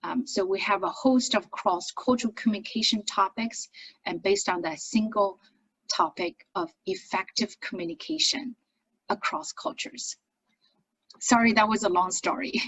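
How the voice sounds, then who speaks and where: A woman's voice: medium pitch, moderate pace, husky, low-quality recording. A middle-aged woman from China with a Chinese accent, an accent coach and business founder telling her story in an interview. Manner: lively, animated storytelling with frequent restarts.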